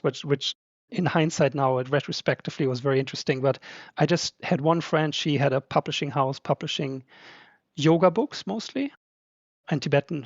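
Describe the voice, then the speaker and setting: A man's voice: fairly high pitch, no room sound, lo-fi sound. A podcast guest who is a man, English not his first language, talking casually and chattily with many fillers. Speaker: podcast guest, translator and publisher. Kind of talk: casual conversation.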